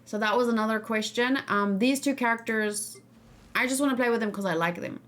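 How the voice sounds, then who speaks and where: Woman, game streamer, fairly high voice, casual opinionated chat straight to viewers. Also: fairly quick, fairly bright tone. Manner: opinionated, thinking aloud, addressing viewers. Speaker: gaming streamer. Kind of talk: casual game reaction and discussion.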